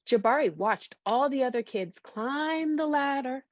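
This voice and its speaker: A woman reading a storybook aloud to young children, expressive and simply phrased, stopping to ask them questions. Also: sits high, a little slow, dry recording. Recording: dull, lo-fi sound.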